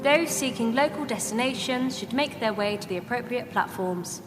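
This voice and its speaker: Woman acting a reverberant, highish station announcer. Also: lively intonation, normal speed, over music, lightly acted. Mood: formal. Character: formal female railway station announcer. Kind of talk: public address announcement. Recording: long station-hall reverb.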